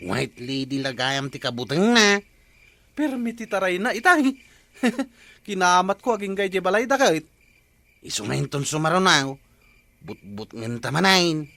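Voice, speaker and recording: A very high male voice from an actor plays a village mischief-maker. He is playful and conspiratorial, in the manner of Ilocano comedy radio drama. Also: animated, a little slow, creaky, sharp tone, heavily acted. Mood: gleeful scheming. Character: mischievous village prankster plotting to scare others.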